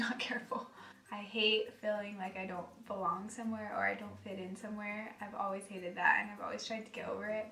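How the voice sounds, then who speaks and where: A soft-spoken American woman in her twenties chatting casually and giggling in a vlog. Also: medium pitch. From United States. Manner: chatty, giggly, self-deprecating. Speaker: vlogger. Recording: echoey room, voice a bit far from mic, faint music.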